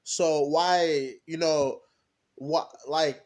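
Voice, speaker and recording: A young man co-hosting a podcast, talking casually and haltingly, often stopping to search for his words. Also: fairly high pitch, fairly even intonation, darkish tone. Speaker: podcast co-host. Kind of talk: casual discussion.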